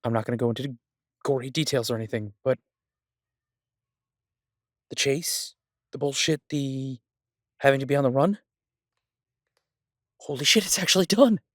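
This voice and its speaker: A man playing a lovesick, wry mafia boss who rambles haltingly in an intimate audio drama voice message. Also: medium pitch, dry recording, clean studio sound, lightly acted. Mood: elated, relieved. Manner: halting, rambling, drawn-out pauses, occasionally swearing.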